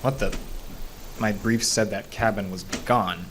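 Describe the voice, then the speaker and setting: Grumbling, cautious park ranger, noisy recording. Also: male voice, lowish voice, steady tone, a little slow, fairly bright tone. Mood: uneasy. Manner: grumbling, wry, hesitant. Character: winded, reluctant, cautious male park ranger. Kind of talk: audio drama acting.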